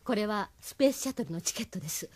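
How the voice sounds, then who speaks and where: An actress playing a sharp, cautious, determined young resistance agent in an anime audio drama. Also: female voice, mid-range voice, animated, rapid-fire, noisy background, close to an everyday voice. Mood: firm, cautioning.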